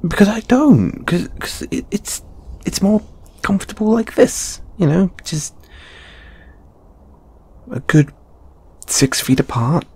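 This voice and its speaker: A British man voicing a shy, flustered lamia flatmate in an intimate audio roleplay, with stammering, hesitant, sighing delivery. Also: high-pitched, slow pace, long pauses, husky, exaggerated voice. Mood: nervous, defensive.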